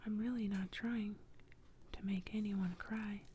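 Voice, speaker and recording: A woman's voice: mid-range voice, steady tone, unhurried, warm tone, slight background noise, natural voice. A woman voicing a small, lonely male onion in a storybook, speaking in a whisper. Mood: sad.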